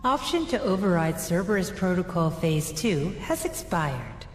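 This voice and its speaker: A woman voicing a cold computer system in a video game cutscene, announcing in a flat, formal way. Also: on the low side, a little slow. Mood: clinical. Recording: echoey, reverberant, music underneath.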